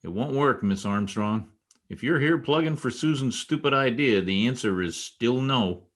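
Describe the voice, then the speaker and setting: Man acting a vain columnist, lively swinging intonation, mid-range voice, blustery, easily flustered, old-time radio comedy. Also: medium pitch, normal speed, natural voice. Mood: blustering, flustered. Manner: animated, blustering, hesitant when flattered. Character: gruff, vain newspaper columnist, easily flattered. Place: home, online video-call production.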